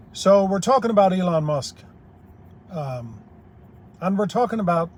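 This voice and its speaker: A man's voice: on the high side, a little mellow, slight background noise. Blunt older Irish-born man. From Ireland. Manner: blunt, plain-spoken, rambling. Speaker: YouTube commentator. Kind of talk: opinionated monologue.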